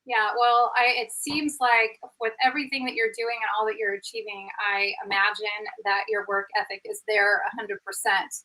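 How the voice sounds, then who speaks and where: A woman's voice: mid-range voice, level tone, moderate pace, few pauses, bright, forceful tone, poor audio. A middle-aged woman hosting a live interview as a performance coach, effusive and full of praise.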